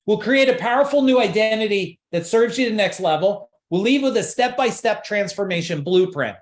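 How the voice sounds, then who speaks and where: A man's voice: high-pitched, expressive, fairly quick, reverberant. A man coaching a live online seminar, pushing emphatic rhetorical questions and repeating his points. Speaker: business coach.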